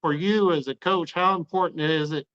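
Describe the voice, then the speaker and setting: A man's voice: fairly high pitch, steady tone, normal speed, fairly bright tone, clean tone. A man hosting a podcast and trading coaching stories, acting out what he said to players. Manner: tells stories, acting out his own quotes. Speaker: podcast host, former assistant coach. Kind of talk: casual interview talk. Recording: slightly dull lo-fi mic.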